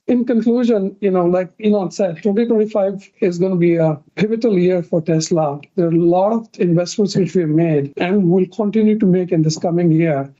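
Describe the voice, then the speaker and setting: A man's voice: high-pitched, mellow. A man giving a formal, prepared financial presentation as a company executive on an earnings call. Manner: formal, reading from prepared remarks. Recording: dull, muffled conference call audio.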